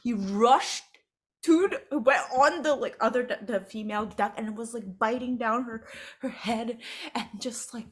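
A young woman from Macau, co-hosting a podcast and telling stories in a lively casual chat, laughing a lot. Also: on the high side, measured pace. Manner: animated storytelling, laughs a lot. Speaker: podcast co-host.

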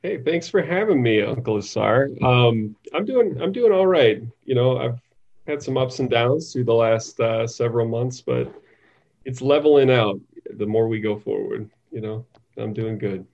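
Male interview guest, mid-pitched, thoughtful and halting, conversational, on slightly muffled audio. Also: lively intonation, vocal fry, darkish tone. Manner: halting, thoughtful, repeats words. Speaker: interview guest, psychiatric nurse practitioner.

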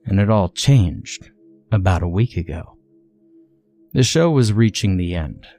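A man narrating a scripted first-person horror story in a measured, deliberate, dramatic way. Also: low voice, expressive, warm tone, faint music behind.

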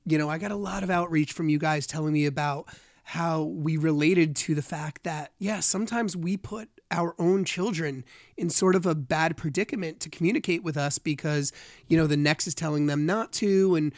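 A man hosting a podcast in casual chat, raspy and a bit under the weather, candid and animated, swearing freely. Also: fairly high pitch, moderate pace, dry recording, clean studio sound. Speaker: podcast host.

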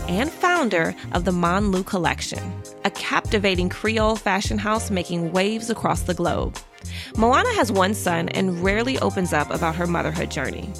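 A woman's voice: mid-range voice, vocal fry. Effusive woman podcast host. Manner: effusive, enthusiastic, gushing. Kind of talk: casual interview.

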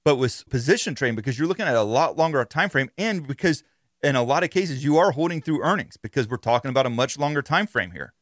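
A man's voice: medium pitch, lively intonation, fast, no room sound. A man hosting a podcast, explaining things in an informal, conversational monologue on a close mic. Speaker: podcast host.

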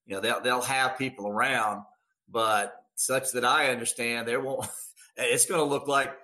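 A man in middle age speaks with a bright, forward voice at a medium pitch. He is a radio sports announcer talking casually in an interview. His delivery is folksy and emphatic, circling back on words to drive them home. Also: fairly even intonation, a little slow. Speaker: guest radio play-by-play announcer.

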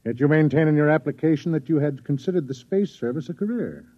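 An actor playing a stern, suspicious government interrogator, formal and insinuating, in classic radio drama style. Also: male voice, fairly high pitch, expressive, quick pace. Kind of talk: old-time radio drama acting. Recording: thin phone-like old radio recording.

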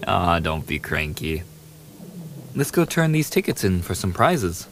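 A man's voice: low-pitched, unhurried, soft background music, full character voice. A man playing a famous young pop idol boyfriend in an ASMR audio roleplay, playful, affectionate and eager, teasing the listener in a casual, intimate way. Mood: playful, tender. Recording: steady hiss behind the voice.

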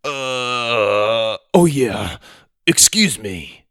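A man voicing a big, slow-witted bully boy in a children's storybook, talking bluntly. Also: mid-range voice, expressive, fairly bright tone, no room sound, clean studio sound. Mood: dim, chastened.

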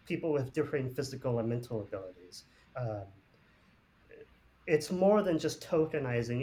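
Earnest man with many filler pauses. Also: mid-range voice. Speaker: discussion panelist. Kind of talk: reflective spiritual discussion.

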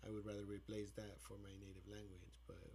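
Soft-spoken man with a Mexican Spanish accent. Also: lowish voice, little pitch movement, a little fast, gravelly, slightly rough. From Mexico City. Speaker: podcast guest. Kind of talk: casual podcast chat.

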